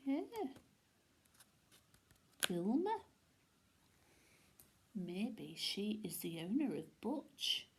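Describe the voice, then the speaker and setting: A woman reading a children's bedtime story in an animated way, with chatty asides. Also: medium pitch, extremely slow, long pauses, roomy sound, slight background noise.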